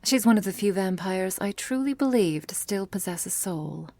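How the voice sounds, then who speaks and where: A woman's voice: medium pitch, expressive, normal speed, darkish tone, dry recording. A woman voicing a grave, worried vampire messenger in an ASMR roleplay, speaking in a stern, formal, urgent manner.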